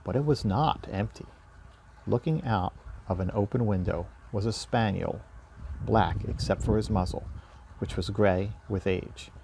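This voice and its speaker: Low, creaky-voiced man narrating a storybook. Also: measured pace, darkish tone, a little hiss or hum, rough recording. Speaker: story reader.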